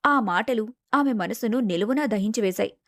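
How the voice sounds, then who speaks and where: Casting: female story narrator, slightly high voice, dramatic scripted delivery, dry close sound. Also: moderate pace, dry recording.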